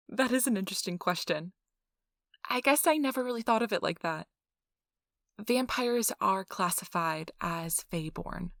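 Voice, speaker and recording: A woman playing a friendly, chatty intake interviewer in a soft ASMR role-play, explaining things politely and informally. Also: mid-range voice, dry recording, studio quality. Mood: reassuring, chatty. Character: friendly, chatty female intake interviewer at a vampire blood donation center.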